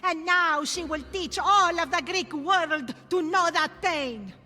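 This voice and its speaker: A woman's voice: very high-pitched, slow pace, sharp tone, full character voice. A woman voicing a sadistic, gloating cult priestess in a theatrical, menacing manner for a video game cutscene. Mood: fervent, menacing. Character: sadistic, gloating cult priestess who claims stolen children. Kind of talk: dramatic game cutscene acting. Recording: long reverb, music behind.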